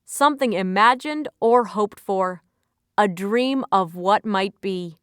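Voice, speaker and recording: A woman reading scripted dictionary-style definitions and example sentences in a measured, clearly enunciated way. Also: medium pitch, no echo, studio quality. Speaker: vocabulary narrator.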